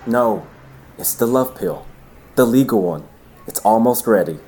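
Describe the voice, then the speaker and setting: Audio drama part: man playing caring, anxious young boyfriend, medium pitch, earnest persuader; light background noise. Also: animated, a little slow, mellow, lightly acted. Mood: hopeful, earnest. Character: worried, earnest young boyfriend, pleading and lecturing.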